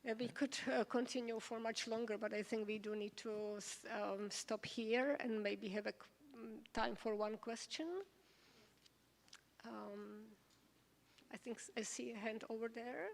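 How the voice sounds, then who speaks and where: Woman moderator, slightly high voice, brisk, hesitant ums, hall reverb. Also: flat delivery, vocal fry. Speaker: moderator and scholar.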